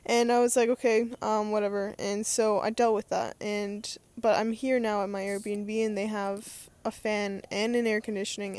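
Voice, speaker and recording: A woman's voice: on the high side, a little mellow. A young adult woman talking in a casual, unscripted monologue as a podcast host, rambling and hesitant as she thinks aloud.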